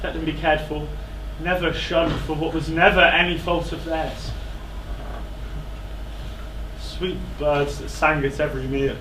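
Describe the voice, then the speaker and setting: A man on stage playing an anguished, self-loathing fallen king in a classical tragedy, declaiming in halting, pleading phrases. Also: on the high side, steady tone, close to an everyday voice. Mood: tender pleading. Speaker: stage actor. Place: indoor theatre stage. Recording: echoey theatre, distant microphone, faint noise.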